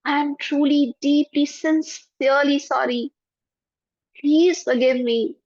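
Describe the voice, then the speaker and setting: A woman leading a guided forgiveness meditation in a soft, prayerful voice with slow repetitions. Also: sits high, fairly even intonation, dry recording. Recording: dull lo-fi, dry.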